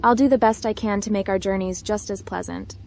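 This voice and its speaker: A woman's voice: medium pitch, fairly quick, vocal fry, darkish tone, a little hiss or hum, lightly acted. A woman voicing a friendly, curious female hacker adventurer as the protagonist of a space video game. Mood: flirtatious. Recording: faint music behind the voice.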